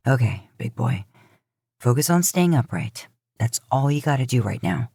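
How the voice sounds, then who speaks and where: Woman doing a low-voiced, protective big brother. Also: animated, normal speed, vocal fry, warm tone, breathy edge, dry recording, studio quality, full character voice. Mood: steady, reassuring. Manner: low, coaxing, casual and wry. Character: gruff, protective adult man soothing his injured brother.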